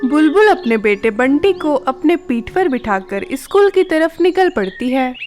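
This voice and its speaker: A woman's voice: high voice, big pitch swings, unhurried, darkish tone. A woman reading scripted narration for a children's cartoon story.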